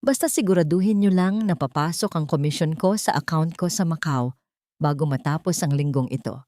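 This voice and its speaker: A woman's voice: low-pitched, brisk, mellow, dry recording, lightly acted. A woman plays a scornful old mother-in-law in an audio drama. She is greedy and haughty, mocking and commanding as she speaks, with a close, dry sound. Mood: smug, conspiring.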